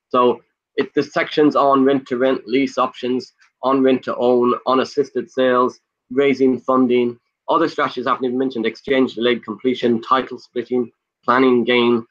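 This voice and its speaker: A man with an Irish accent, whose first language is Irish, talking fast and eagerly as a guest on a video call, rattling off lists in a pushy sales pitch. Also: a bit bright, lo-fi sound. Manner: fast, pushy, lists things off in rapid bursts. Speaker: guest, property investor. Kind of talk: enthusiastic sales pitch in conversation.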